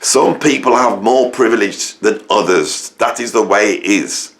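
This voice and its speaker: A middle-aged man from England with a croaky voice, giving an opinionated monologue to camera, rambling and emphatic with many fillers and restarts. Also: on the low side, unhurried. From England, near Birmingham. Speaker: musician and educator, channel host.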